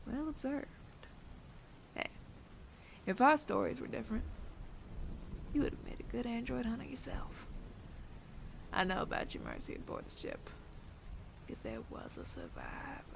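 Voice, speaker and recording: A man playing a weary older android bounty hunter with reluctant mercy in an intimate audio roleplay, breathy and often near-whispered, deliberate and wry. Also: extremely high pitch, huge pitch swings, noisy background, heavily acted. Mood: quiet, reflective.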